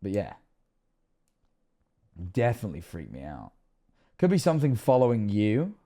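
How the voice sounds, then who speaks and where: A young streamer in his twenties talks from his bedroom, his somewhat low voice rough and hoarse as it wears out. He rambles, cuts himself off and lets sentences drop away into mutters. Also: huge pitch swings, moderate pace. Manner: rambling, self-interrupting, trails off quietly. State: losing his voice, rough throat. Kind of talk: casual reaction stream chat. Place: bedroom streaming setup indoors. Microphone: headset on a streaming setup.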